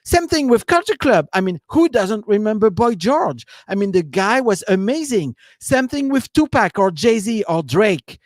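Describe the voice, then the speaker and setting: A man's voice: sits high, very animated, measured pace, hardly any pauses, no room sound, studio quality. A man with a French accent, giving a loose, rambling live-stream talk as a business coach, leaning on "you know" and "right?". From France.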